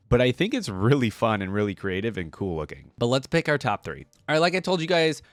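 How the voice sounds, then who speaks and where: A man with a very lively, swinging intonation and an average-pitched voice gives casual reaction commentary in a dry, close-sounding space. He talks informally, thinking aloud as he goes. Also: no room sound. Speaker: video creator and host.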